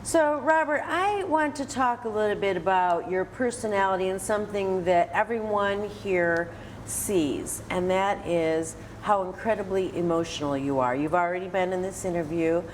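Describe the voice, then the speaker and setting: A woman's voice: mid-range voice, normal speed, a little hiss or hum. An American woman hosting an interview, encouraging her guest and restating what is said. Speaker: interviewer and host. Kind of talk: interview at a senior center, prompting and recapping.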